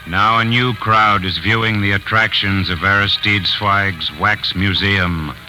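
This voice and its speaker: A man giving measured, portentous narration in 1940s radio horror style. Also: fairly low pitch, fairly even intonation, faint music behind, poor audio. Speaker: story narrator.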